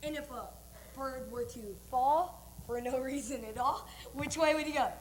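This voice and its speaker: In a noisy, echoing room, a young woman plays a pompous, giggly wizard in an amateur stage play. The voice is high and swings widely as it ponders. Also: moderate pace, close to an everyday voice. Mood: theatrical.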